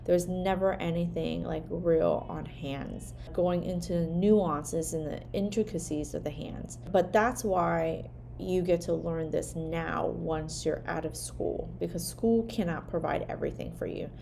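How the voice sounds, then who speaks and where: Gravelly low woman teaching casually. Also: fairly even intonation, unhurried, few pauses, mellow, a little hiss or hum. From Vietnam. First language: Vietnamese. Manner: conversational, frequent "like" and "right?" tags. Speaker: hand therapist and educator. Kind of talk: casual teaching talk to camera.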